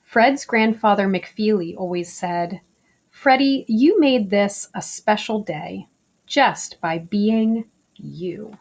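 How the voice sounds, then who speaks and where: A woman reading a children's picture book aloud in an expressive storytelling style, with deliberate, emphatic phrasing. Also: medium pitch.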